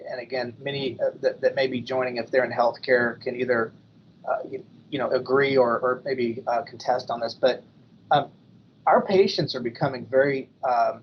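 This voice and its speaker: Halting man on a dull line. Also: mid-range voice. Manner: halting, apologetic, many restarts. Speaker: panelist. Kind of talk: virtual panel discussion. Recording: slightly dull with faint noise.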